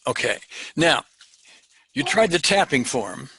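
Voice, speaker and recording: An older man hosting a therapy session, asking measured, gently probing questions. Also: on the high side, sing-song, breathy edge. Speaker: EFT practitioner hosting a session. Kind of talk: calm therapeutic interview.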